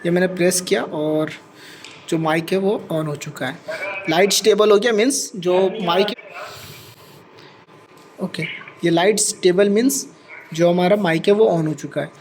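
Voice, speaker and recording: A man's voice: sits high, unhurried, long pauses, a crowd in the background, poor audio. A man presenting a practical tech demonstration step by step, repeating points and mixing English terms into his speech.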